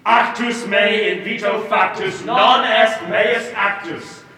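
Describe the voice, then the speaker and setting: A man's voice: high voice, slow pace, a crowd in the background. A man on stage playing a defiant, wilful character, declaiming in a chanting, theatrical way. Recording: very echoey hall from far off, audience noise.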